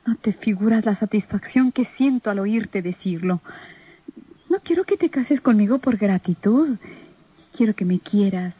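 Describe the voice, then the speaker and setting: A woman's voice: medium pitch, animated, measured pace, breathy edge, no room sound, dull audio. An actress with a Mexican accent playing a tender, self-effacing woman in love in an old radio serial. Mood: tender, wistful.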